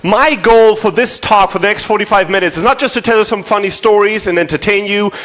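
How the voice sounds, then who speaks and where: High-pitched man giving a candid motivational talk. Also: brisk, a bit bright. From Germany. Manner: profane, self-deprecating, checks in with the audience. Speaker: conference keynote speaker. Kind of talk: motivational conference talk, informal storytelling.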